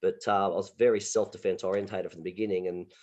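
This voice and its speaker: An Australian man, a martial arts instructor, telling stories in a relaxed interview over a video call, full of sound effects and restarts. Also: low voice, quick pace. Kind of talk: casual interview storytelling.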